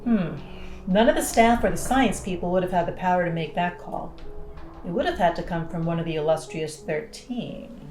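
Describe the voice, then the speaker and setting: A woman's voice: lowish voice, animated, moderate pace, echoey room, music in the background, natural voice. A woman playing a cocky, sardonic pilot in an audio drama, with a dry, blunt, teasing delivery. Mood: wry, probing. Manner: dry, sarcastic, blunt, foul-mouthed teasing. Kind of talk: naturalistic audio drama dialogue.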